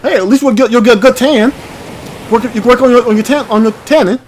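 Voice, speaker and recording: A man's voice: very high-pitched, quick pace, a little hiss or hum. A man hosting a gaming channel, chatting and rambling casually as he reacts aloud to a game. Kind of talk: casual let's-play commentary.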